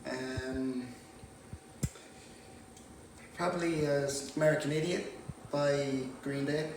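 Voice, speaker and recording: A man playing a weary, sarcastic housemate giving a hesitant, deadpan interview in a mock reality show sketch. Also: a little high, takes it slowly. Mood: awkward, sarcastic. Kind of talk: comedy mockumentary sketch. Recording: very echoey, distant, noisy room.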